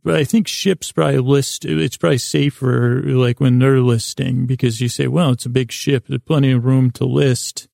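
A man hosting a sleep podcast, meandering through a halting, self-correcting bedtime monologue in a creaky voice. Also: medium pitch, steady tone, darkish tone, no echo, studio quality.

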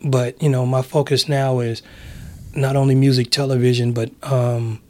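A man's voice: mid-range voice, little pitch movement, moderate pace, a little mellow. A middle-aged man, chatting casually in a laid-back, slangy way as an interview guest. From San Francisco, USA. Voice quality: raspy. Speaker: interview guest, public address announcer.

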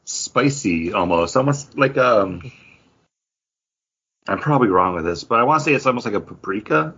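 A man's voice: mid-range voice, animated, normal speed, a bit bright, husky, muffled recording. A man hosting a podcast in an enthusiastic, casual, chatty way. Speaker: podcast host. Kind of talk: casual chat.

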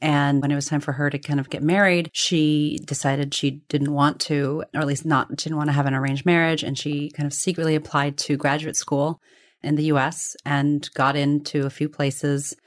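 A woman's voice: sits low, little pitch movement, normal speed. A woman being interviewed, telling personal stories conversationally in a reflective, discursive way, often qualifying and correcting herself. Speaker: interview guest.

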